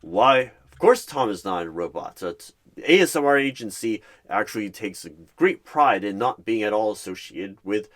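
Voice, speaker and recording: Man putting on an over-earnest recruiter, lively pitch. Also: normal speed, hardly any pauses. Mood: defensive. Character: nervous, defensive, over-earnest male corporate recruiter interviewing.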